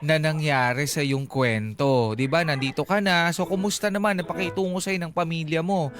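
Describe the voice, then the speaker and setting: Male Filipino radio host, higher pitch, casual advice talk, blunt teasing manner, background music. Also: fairly even intonation, normal speed. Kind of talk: casual advice show chat. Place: radio studio. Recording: music playing behind the voice.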